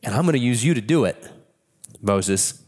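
A man with a cold, hoarse, preaching a sermon in a church in a candid, conversational way. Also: medium pitch, lively intonation, moderate pace, darkish tone. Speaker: pastor. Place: church sanctuary. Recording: long reverb of a church hall.

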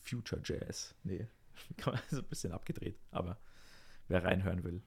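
A young man co-hosting a casual podcast, relaxed and easygoing, with frequent fillers. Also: low-pitched, moderate pace, creaky, breathy edge. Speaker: podcast co-host, jazz musician. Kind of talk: casual chat.

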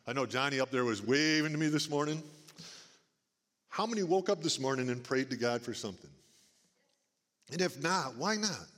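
Man with a big booming churchy voice. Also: lively intonation, moderate pace, long pauses. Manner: warm folksy preaching, big booming voice. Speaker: lay church speaker. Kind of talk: church talk to congregation. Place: church sanctuary. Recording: long reverb of a church hall. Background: church hall.

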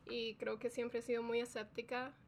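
A woman chatting as a guest scientist, lively and quick to laugh. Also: a little high, a little hiss or hum. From Colombia. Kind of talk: relaxed interview chat.

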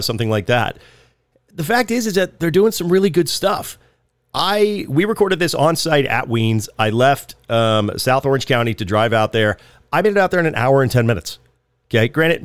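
A man hosting a podcast, a little raspy from a head cold, talking in a chatty, loose way with frequent ums. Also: mid-range voice, dry recording, clean studio sound. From Southern California. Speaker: podcast host. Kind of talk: casual podcast intro and ad reads.

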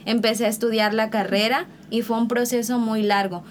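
Sincere woman, slightly high voice. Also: steady tone, brisk, a little hiss or hum. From Veracruz, Mexico. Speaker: missionary guest. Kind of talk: personal testimony.

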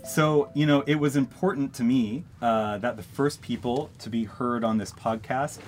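A man hosting a podcast, introducing and interviewing in a measured way. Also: medium pitch, soft background music. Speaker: podcast host.

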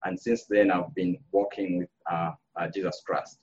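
A man from Zambia, a congregation member, giving his personal testimony in a measured, reflective way with some hesitations. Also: low-pitched, flat delivery, darkish tone, muffled recording.

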